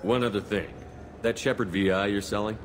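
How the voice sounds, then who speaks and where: An actor playing a decisive, principled military commander in a video game, direct and businesslike. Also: male voice, lowish voice, fairly even intonation, brisk, slight background noise, lightly acted. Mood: firm, pressing. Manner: direct, businesslike, terse questions.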